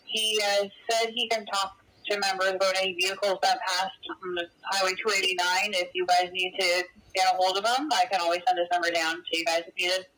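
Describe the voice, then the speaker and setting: Male dispatch caller on two-way radio, sharp and bright, relaying details in a working message. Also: sits high, level tone, a little fast, low-quality recording.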